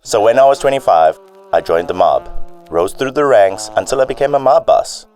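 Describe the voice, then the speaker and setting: An actor playing a cold, menacing police chief turned mob boss in a theatrical radio drama style. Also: male voice, mid-range voice, lively intonation, moderate pace, darkish tone, soft background music, natural voice. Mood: cold, bitter.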